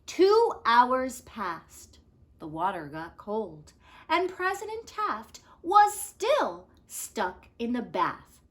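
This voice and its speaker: A woman reading a picture book aloud in an expressive, animated way with deliberate phrasing. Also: a little high. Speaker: storyteller reading aloud to children.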